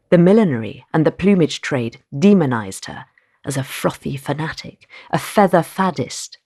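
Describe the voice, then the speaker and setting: A woman author reading the prologue of her own nonfiction book aloud, in a measured, expressive way with dramatic pauses. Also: lowish voice, breathy edge. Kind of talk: audiobook-style reading of nonfiction prose.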